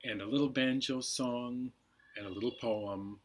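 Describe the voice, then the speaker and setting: A man hosting a storytime, reading bedtime stories to children with unhurried, deliberate phrasing. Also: medium pitch, fairly even intonation, a little hiss or hum. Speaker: library storytime host.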